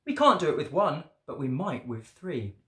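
A woman's voice: low-pitched, sing-song, normal speed, bright, forceful tone, roomy sound, full character voice. A woman voicing a caring storybook mother mole, polite and motherly in her exclamations. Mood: helpful.

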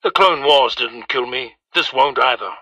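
An actor playing a cold, confident bounty hunter in a raspy voice, speaking in terse, threatening lines. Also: male voice, medium pitch, normal speed, bright, forceful tone. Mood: cold menace. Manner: terse, threatening one-liners. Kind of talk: film and game villain acting. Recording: muffled soundboard clips over phone.

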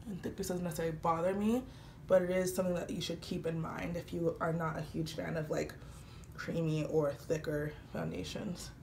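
A young woman with a lowish voice, a little stuffy from a cold, chatting easily as she works through her makeup. Her words ring around a small, echoey room, and a faint hiss sits underneath. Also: fairly quick, creaky. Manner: chatty, relaxed, frequent fillers. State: slightly congested from a cold. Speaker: beauty vlogger. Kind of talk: casual makeup tutorial talk. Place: small indoor room, patterned wall with lights. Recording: roomy echo, faint background hiss.

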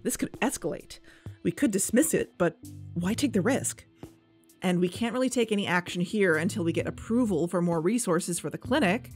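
A woman's voice: fairly low pitch, lightly acted. A woman playing an eager, determined amateur investigator in an audio drama, with a persuasive, urgent manner. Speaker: investigator and podcast co-host.

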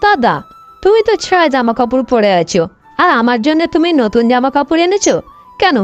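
A woman's voice: high-pitched, very animated, fairly quick, faint music behind. A woman voicing a caring young village sister in a cartoon, with a concerned, questioning manner.

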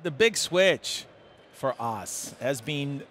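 A man in his forties from Serbia with a Serbian accent, speaking haltingly with many fillers as a guest in a live conference interview. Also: mid-range voice, expressive, a bit bright. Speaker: tech executive guest. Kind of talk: live trade-show interview. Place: busy conference hall. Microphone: headset mic. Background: crowd chatter.

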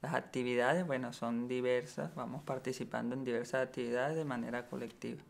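A man's voice: mid-range voice, steady tone, fairly quick, gravelly. A young man answering interview questions about his cooperative, rephrasing himself as he goes. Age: young adult. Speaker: cooperative member. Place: bright room by large windows.

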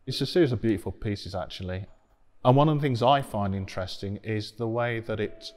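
Man, middle-aged, low pitch, measured, thoughtful, faint background music. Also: a little mellow. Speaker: interviewee. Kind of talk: documentary interview. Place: gallery room, indoors.

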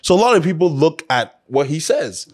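A man's voice: fairly high pitch, very animated, normal speed, darkish tone. A man hosting an opinion show, talking casually and emphatically in a conversational way, repeating phrases to drive his points home.

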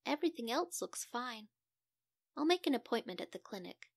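A woman's voice: on the high side, big pitch swings, moderate pace, gravelly, dry recording, studio quality, exaggerated voice. A woman voicing an exasperated but caring male doctor in a children's story, firm and admonishing. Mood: matter-of-fact.

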